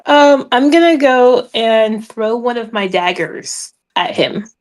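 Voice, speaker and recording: A woman's voice: fairly high pitch, expressive, slow, rough recording. A woman chatting casually as a player in a tabletop game.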